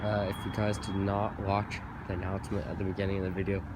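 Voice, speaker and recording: Low-voiced man chatting casually. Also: monotone, quick pace, very noisy. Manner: chatty, rambling explanations. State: voice a bit off after being sick. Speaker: channel host. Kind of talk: casual vlog talk to camera. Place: outdoors by a street in the early morning.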